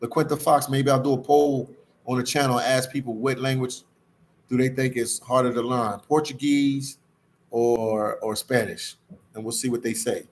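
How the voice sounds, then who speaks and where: A man's voice: medium pitch, fairly even intonation, normal speed. Man livestreaming casually over ambient noise. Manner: repetitive, slangy, addresses viewers as "man". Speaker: live stream host reading viewer comments. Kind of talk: casual livestream chat to viewers. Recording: clear background ambient noise.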